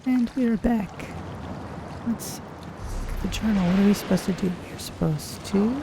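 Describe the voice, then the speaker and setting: A streamer voicing a gentle, polite cat-folk adventurer, musing unhurriedly through a fantasy video game. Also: medium pitch, unhurried, noisy background, lightly acted.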